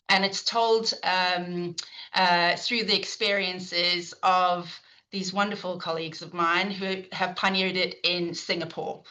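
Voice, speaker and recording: Session chair: South African woman, middle-aged, lowish bright voice, chatty with fillers, heard over a video call. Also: low-quality recording. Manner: warm, chatty, frequent fillers and restarts. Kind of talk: introducing speakers at an online talk.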